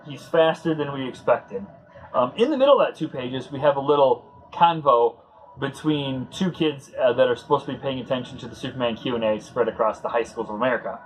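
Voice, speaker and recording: A man hosting a casual read-along commentary, talking in a halting, conversational way as he searches for words. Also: mid-range voice, slight background noise, poor audio. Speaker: host.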